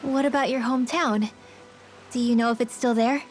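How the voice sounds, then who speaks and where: A woman's voice: a little high, fairly even intonation, normal speed, faint music behind, natural voice. A woman voicing a caring, orphaned young mage girl in an English video game dub, speaking casually and candidly. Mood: gently curious. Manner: casual, candid, gently encouraging.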